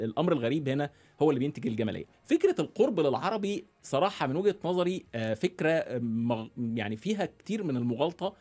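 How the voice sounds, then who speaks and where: A man with an Egyptian accent, a guest who gives his views in a reflective, halting way, with many restarts and fillers. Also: mid-range voice, a little mellow. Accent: Egyptian Arabic. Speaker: guest, founder of a dialect initiative. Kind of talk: reflective interview talk.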